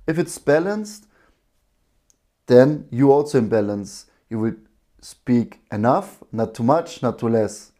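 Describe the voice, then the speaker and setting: Man with a mellow voice teaching breathwork. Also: medium pitch, animated. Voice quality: strong voice. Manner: unhurried explaining, with restarts and loose grammar. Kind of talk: live-streamed spiritual teaching talk.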